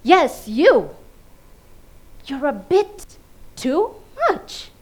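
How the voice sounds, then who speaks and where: A Ugandan woman performing a theatrical comic monologue, emphatic and dramatic, swinging between hushed and loud delivery. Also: sits high, sing-song, extremely slow. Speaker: competition contestant performing a monologue. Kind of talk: theatrical comedic stage monologue.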